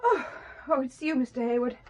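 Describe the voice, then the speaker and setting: An actress playing a chirpy, inquisitive, loyal office secretary in an audio drama. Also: female voice, high-pitched, lively intonation, a little fast, slight background noise. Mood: curious, teasing.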